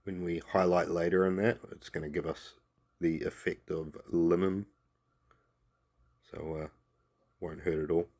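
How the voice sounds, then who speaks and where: A man with a New Zealand accent who has a cold, talking through a painting tutorial in a relaxed voice-over that trails off with "sort of" and "um". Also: deep voice, unhurried, pauses often.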